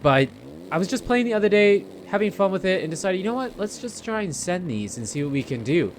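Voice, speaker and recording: A man's voice: high-pitched, sing-song, brisk, slight background noise. A man giving casual, rambling gameplay commentary, chatting informally and speaking directly to his viewers. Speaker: gamer narrating gameplay.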